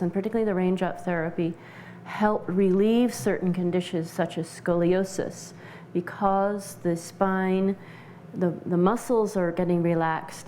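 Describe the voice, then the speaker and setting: A woman giving explanatory interview answers as a practitioner, measured and halting as she searches for words. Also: lowish voice, warm tone, a little hiss or hum. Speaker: interview guest, essential oils practitioner.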